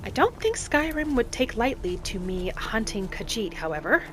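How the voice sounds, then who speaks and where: A woman playing a gentle, matter-of-fact wood elf wanderer, musing aloud in measured, short phrases as in-character game roleplay. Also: fairly high pitch, big pitch swings. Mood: reflective. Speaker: roleplay gamer narrating in character. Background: background music.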